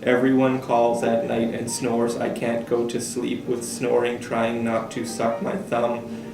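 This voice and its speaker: A man reading a child's letter aloud in a halting way, stumbling and correcting himself. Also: medium pitch, completely flat delivery, vocal fry. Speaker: staff member reading aloud. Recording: distant roomy mic with guitar music. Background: guitar music.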